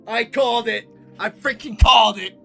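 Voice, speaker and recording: Man, bright tone, effusive let's play commentary, emotional, light background music. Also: very high-pitched, moderate pace, creaky. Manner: effusive, emotional, reads game text aloud. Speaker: game streamer. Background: game music.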